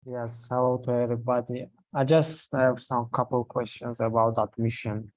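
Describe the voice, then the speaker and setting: A man from Tajikistan speaks on a video call, explaining his situation slowly with many pauses and fillers. His voice sits in a middle range, and the sound is dry, with no room echo. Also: medium pitch, level tone. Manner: hesitant, explains at length with fillers. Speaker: prospective student asking questions. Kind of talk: online call Q&A.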